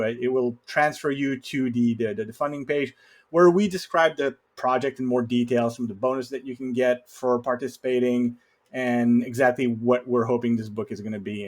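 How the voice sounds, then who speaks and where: Mid-pitched man chatting as podcast guest. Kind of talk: casual conversational explaining.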